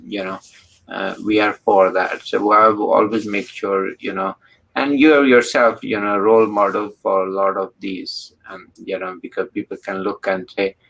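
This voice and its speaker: A man hosting an interview, speaking slowly and haltingly with many fillers. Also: fairly low pitch, vocal fry, a bit bright, rough recording. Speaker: interview host. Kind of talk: casual interview talk.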